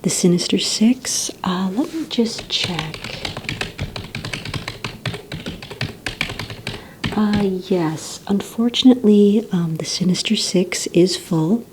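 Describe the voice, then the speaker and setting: A woman playing a polite, organized receptionist at a supervillain recruitment center in a soft-spoken, breathy ASMR roleplay, with gentle, hesitant, courteous questioning. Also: mid-range voice, unhurried, few pauses, background noise, lightly acted. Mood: helpful, businesslike. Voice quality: soft, breathy, near-whisper.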